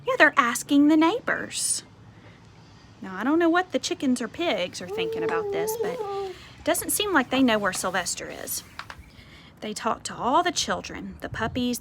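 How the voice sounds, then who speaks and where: High-voiced woman reading a picture book. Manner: animated, explanatory, conversational asides. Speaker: storyteller reading to children. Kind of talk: expressive picture-book read-aloud with asides to children. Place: outdoors on a porch. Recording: faint outdoor ambient noise.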